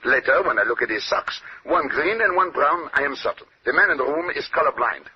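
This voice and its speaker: An actor playing a fussy, self-assured Belgian detective in a radio drama, with a French-speaking Belgian accent and courtly, pedantic phrasing full of questioning tags. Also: male voice, on the high side, animated, a little fast, slightly rough. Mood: proud, explanatory. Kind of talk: 1940s radio drama acting. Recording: muffled, noisy old radio broadcast.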